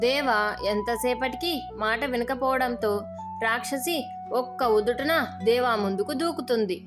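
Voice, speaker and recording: A woman's voice: a little high, normal speed, music in the background. A woman narrating a scripted story as its storyteller.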